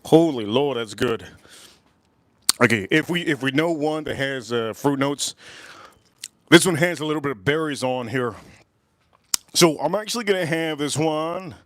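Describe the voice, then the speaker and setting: A man's voice: on the high side, animated, moderate pace, pauses often, a bit bright, dry recording. A man reviewing whiskey on a casual livestream, enthusiastic and repeating his phrases, with sighs and exclamations between sips. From United States. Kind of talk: casual livestream tasting chat.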